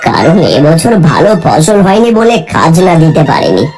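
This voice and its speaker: A woman's voice: deep voice, very animated, moderate pace, darkish tone, faint music behind. A woman voicing a poor, frightened old village man who pleads in a wavering voice. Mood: fearful, pleading.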